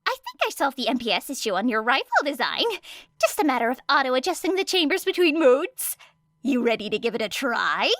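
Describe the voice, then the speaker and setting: A woman voicing an eager, brash young female inventor in an audiobook, with a brisk, boastful, excitable manner. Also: sits high, dry recording, clean studio sound, pitched above the performer's own voice. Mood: excited. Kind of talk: audiobook character acting.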